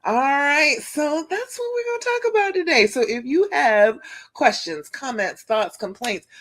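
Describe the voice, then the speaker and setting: Woman, deep voice, relationship coach, casual livestream talk, reassuring, phone mic. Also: lively intonation, unhurried. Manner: warm, chatty, reassuring, tag questions like "okay?". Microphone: phone live stream.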